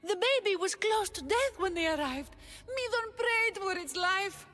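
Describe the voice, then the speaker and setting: An actress playing a young, fiercely loyal priest's companion in a video game, defensive and impassioned. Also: female voice, extremely high pitch, expressive, unhurried, a bit bright, faint music behind, full character voice. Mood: protective.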